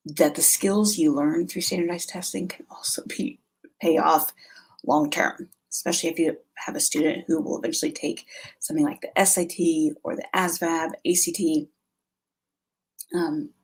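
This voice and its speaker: Woman with creaky, husky voice, chatting casually. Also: on the low side, poor audio. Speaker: homeschool vlogger and live stream host. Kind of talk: casual live chat to camera.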